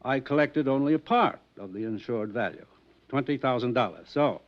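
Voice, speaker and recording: An actor playing a wealthy, courteous mansion owner in a 1950s radio drama, speaking smoothly and guardedly. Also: male voice, medium pitch, lively intonation, moderate pace, natural voice. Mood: polite. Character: wealthy polished mansion owner, male, middle-aged. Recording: dull old radio transcription.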